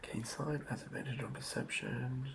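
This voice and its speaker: A man's voice: medium pitch, fairly even intonation, normal speed, creaky, noisy background. A man doing casual ASMR, thinking aloud as he writes and often murmuring words over again. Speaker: ASMR channel host. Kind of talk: whispered ASMR, tabletop game monster writing.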